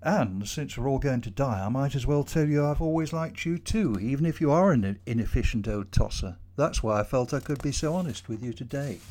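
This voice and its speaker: A man voicing a sad, weary middle-aged boss who talks calmly and reasonably in a storybook reading. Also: mid-range voice, fairly quick, few pauses, lightly acted.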